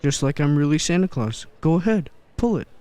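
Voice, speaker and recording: A man's voice: fairly high pitch, expressive, a little fast, dark tone, smooth, lightly acted. An actor playing a jolly, kindly elderly Santa Claus in a radio play, genial and grandfatherly.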